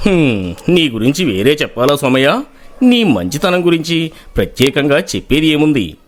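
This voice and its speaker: A man voicing a respected, fair-minded village landlord in a moral story, measured and authoritative. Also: fairly high pitch, big pitch swings. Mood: calm, authoritative. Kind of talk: moral-story character acting.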